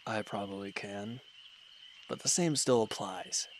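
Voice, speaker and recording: A man playing an injured, weary villain in a roleplay audio drama, guarded and quietly considerate, speaking in halting, hesitant lines. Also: slight background noise. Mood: weary, reluctant. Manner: hesitant, halting, low-key, grudging. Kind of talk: roleplay audio drama, intimate one-sided dialogue.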